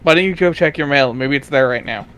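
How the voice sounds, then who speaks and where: A man's voice: fairly high pitch, quick pace, fairly bright tone, clear, resonant tone, natural voice. A man reading a cocky, flippant webcomic teenager in a casual amateur dramatic reading. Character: cocky, foul-mouthed deadpan cool teenage boy, webcomic character.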